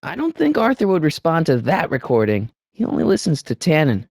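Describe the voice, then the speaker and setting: Video game teen hero: earnest, anxious American boy, widely swinging pitch, dry close sound. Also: huge pitch swings, brisk, creaky, a little mellow, no room sound, exaggerated voice. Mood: tentative, musing. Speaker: voice actor. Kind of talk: video game character voice acting.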